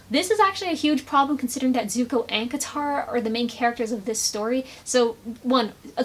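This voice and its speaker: Female video essayist, higher-pitched voice, conversational and opinionated, emphatic rant delivery. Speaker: video essayist, fan commentator. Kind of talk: casual opinionated commentary.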